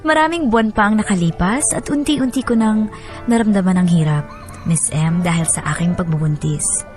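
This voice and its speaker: Soft music sits behind an actress as a wife recounting her marriage. Her mid-pitched voice is reflective and confiding, narrating in the first person for a radio drama. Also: natural voice. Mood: tender, reflective.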